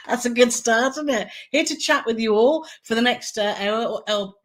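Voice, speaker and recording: A woman with a hoarse, croaky throat co-hosting a casual live Q&A, chatty and giggly as she reads out viewer comments. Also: on the high side, measured pace. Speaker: co-host of a travel channel live stream.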